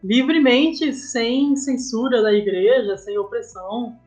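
A woman's voice: a little high, slow pace, darkish tone, soft background music. A woman with a Brazilian accent speaking casually as a guest in a podcast interview, often checking for agreement with tag questions. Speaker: guest, comic artist and game writer.

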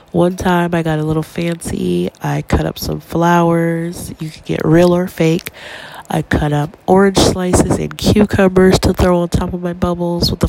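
A woman's voice: fairly low pitch, creaky, a little mellow, a little hiss or hum, low-quality recording. A young woman hosting her own podcast while congested from a cold, chatting casually and encouragingly with plenty of ums. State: congested with a cold, stuffy nose. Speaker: podcast host. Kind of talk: casual solo podcast advice.

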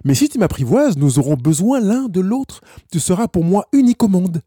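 Guy reading as a lonely wild fox from a storybook, soft mellow tone, super smooth dry sound, gentle and patient. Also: high voice, very animated, normal speed, dry recording, voice pushed up, heavily acted. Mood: wistful, explaining. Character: wistful, lonely wild fox longing to be tamed.

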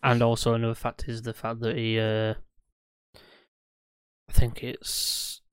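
A man's voice: medium pitch, completely flat delivery, slow, smooth, dry recording, clean studio sound. A man co-hosting a podcast in casual gaming chat, hesitant and often losing his train of thought. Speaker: podcast co-host.

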